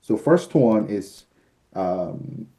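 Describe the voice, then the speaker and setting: Measured man with a dark voice. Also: fairly low pitch, very animated, creaky. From United States. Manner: measured, explanatory, frequent fillers. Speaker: arbitrator and mediator guest. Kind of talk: explanatory interview answers. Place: office with shelves, indoors. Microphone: video call webcam.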